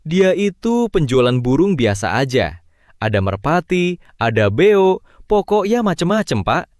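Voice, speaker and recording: Chatty neighbor, bouncy lively pitch, dry close sound. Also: male voice, a little slow, dry recording. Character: helpful male neighbor, chatty, cheerful. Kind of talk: animated cartoon voice acting.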